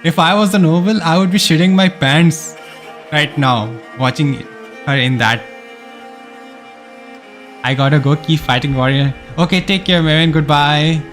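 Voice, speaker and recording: A man's voice: on the high side, fairly even intonation, measured pace, long pauses, a bit bright, faint music behind. A man live streaming a game, reading its story text aloud with casual asides, sometimes stumbling over words and correcting himself.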